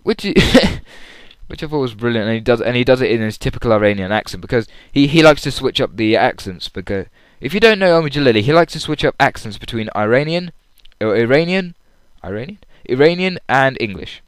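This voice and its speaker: A man's voice: medium pitch, lively intonation, fairly quick, clean tone, no room sound. A man telling an anecdote in a casual, rambling solo audio log. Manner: rambling, acts out quoted lines loudly. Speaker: audience member recapping a comedy show.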